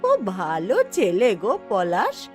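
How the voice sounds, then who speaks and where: A woman's voice: medium pitch, wildly swooping pitch, slow, soft background music. Woman acting swooping, frank elderly village mother. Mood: frank, concerned. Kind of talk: cartoon folk-tale acting.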